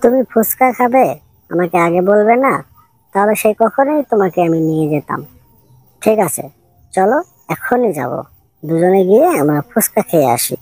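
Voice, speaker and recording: Cartoon fox husband, female performer, obliging and hospitable, mid pitch, dry sound. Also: expressive, normal speed, pauses often, a little mellow, dry recording, rough recording. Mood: eager, indulgent. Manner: obliging, eager to please. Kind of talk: cartoon story acting.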